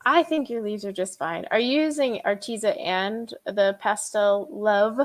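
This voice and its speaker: A woman's voice: mid-range voice, a little slow. A woman hosting a live coloring chat stream, talking casually with lots of fillers and frequent laughter. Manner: chatty, laughs often, many fillers.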